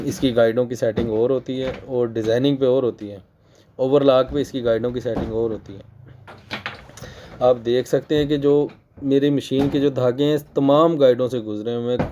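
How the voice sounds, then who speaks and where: A man from Pakistan with a Pakistani Urdu accent, hoarse from a cold, patiently explaining sewing machine work in a casual tutorial. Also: mid-range voice, dark tone, a little hiss or hum. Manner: patient, repeats points for emphasis; clears his throat often. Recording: dull lo-fi recording.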